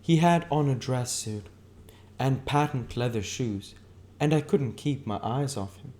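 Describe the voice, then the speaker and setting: A man's voice: medium pitch, animated, unhurried, a little mellow, pitched above the performer's own voice, natural voice. A man voicing a brash, gushing, affected married woman in a dramatic, gossipy way, reading from a novel. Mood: breathless, romantic. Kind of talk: character voice in an audiobook reading.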